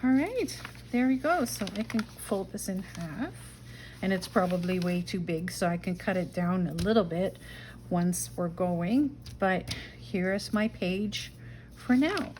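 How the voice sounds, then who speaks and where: A middle-aged woman from the Netherlands with a Dutch accent, chatting to herself and her viewers while making a journal page, sometimes dropping to a soft whisper. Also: medium pitch, expressive, unhurried, slight background noise. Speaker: junk journal crafter. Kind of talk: casual craft-along narration.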